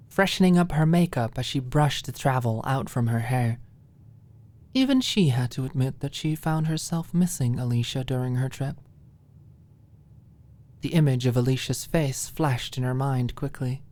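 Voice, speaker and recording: Female audiobook narrator, notably low voice, unhurried pace, expressive storytelling delivery.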